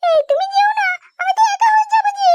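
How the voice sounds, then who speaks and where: Very high squeaky timid cartoon girl. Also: steady tone, fast talker, heavy background noise. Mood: coy, nervous. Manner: coy, childlike, hesitant. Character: timid, coy young village girlfriend, cartoon. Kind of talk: comic cartoon dubbing.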